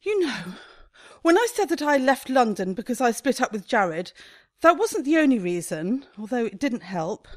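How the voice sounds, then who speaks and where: Stressed shop owner, dry close sound. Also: female voice, a little high, big pitch swings, moderate pace, darkish tone, no room sound. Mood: resigned, despairing. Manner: weary, clipped, self-pitying. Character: stressed, despairing female shop owner at breaking point. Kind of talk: radio play acting.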